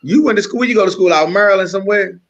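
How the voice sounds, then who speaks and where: A man's voice: high-pitched, very fast, highs cut off. A middle-aged or older man with a Washington DC American accent, a comedian guest joking casually in slangy, wisecracking talk. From Washington DC, United States.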